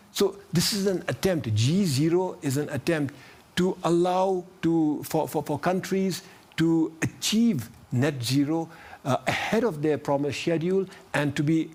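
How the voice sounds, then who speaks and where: A man speaking as a panelist, halting and repeating words as he restarts his sentences. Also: on the high side, a little mellow. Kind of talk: panel discussion.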